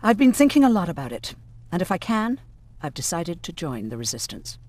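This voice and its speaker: An actress playing an earnest, resolute young resistance volunteer in a video game. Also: female voice, lowish voice, sing-song, fairly quick, darkish tone. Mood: earnest, concerned. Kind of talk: dramatic video game acting.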